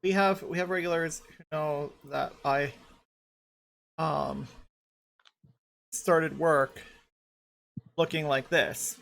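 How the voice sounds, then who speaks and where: A woman's voice: low voice, steady tone, slow, gravelly, pauses often. A woman hosting a casual podcast panel chat, rambling and repeating herself as she talks. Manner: rambling, repeats herself while searching for photos. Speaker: podcast host.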